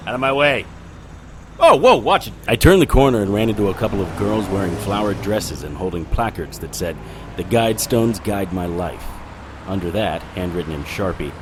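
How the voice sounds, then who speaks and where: A man acting a gruff occult detective in an audio drama, with a low voice. He is wry and sarcastic, grumbling through dialogue and noir narration. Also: background noise, natural voice. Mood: wry, hurried. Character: gruff, sardonic male occult investigator hero.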